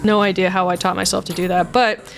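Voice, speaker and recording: A woman's voice: lowish voice, level tone, a little fast, vocal fry. A woman chatting casually and self-deprecatingly as she explains her own amateur voice acting. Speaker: video creator and amateur voice actor. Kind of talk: casual behind-the-scenes chat with vocal demonstrations. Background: background music.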